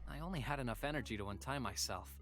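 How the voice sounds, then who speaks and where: Visual novel android companion, male: polite, obedient, subdued and weary, formal diary narration with hesitant pauses, medium pitch. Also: lively intonation, fairly bright tone, soft background music. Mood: hurt, uneasy.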